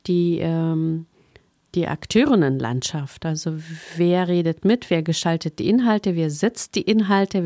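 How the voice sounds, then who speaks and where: A woman's voice: sits low, mellow, dry recording, studio quality. A woman from Afghanistan speaking as a workshop speaker in a radio discussion, thoughtful and hesitant, with many fillers.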